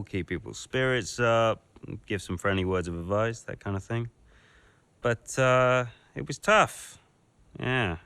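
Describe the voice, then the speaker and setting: A cocky brother, lively bright voice, dry close sound. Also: male voice, fairly low pitch, smooth, no echo, full character voice. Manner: cheeky, swaggering, playful. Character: cocky brash young man, team liaison, brother. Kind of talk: video game cutscene acting.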